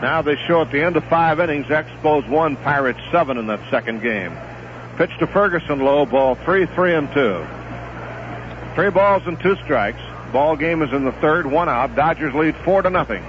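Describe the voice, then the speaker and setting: A middle-aged man calls a baseball game live on the radio, in a steady, relaxed rhythm that lifts when a play heats up. His voice sits a little high. The sound is a dull, muffled 1970s radio broadcast with clear hiss underneath. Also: moderate pace. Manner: steady relaxed play-by-play, rising excitement on big plays.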